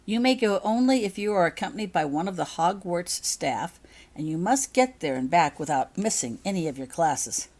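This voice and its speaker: Woman as a firm, practical schoolgirl prefect, animated. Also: lowish voice, normal speed. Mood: explaining. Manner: instructive, firm. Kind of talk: storybook character voice.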